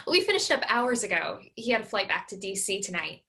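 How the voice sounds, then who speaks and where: A woman playing a brisk, exasperated grown daughter in a staged play reading. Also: medium pitch, very animated, bright, forceful tone. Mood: brisk, corrective.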